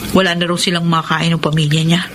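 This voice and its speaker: A woman's voice: lowish voice, level tone, fast talker, background noise, close to an everyday voice. A woman acting a modest, worried wife in a Filipino radio drama. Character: modest, worried wife, uneasy about her brother.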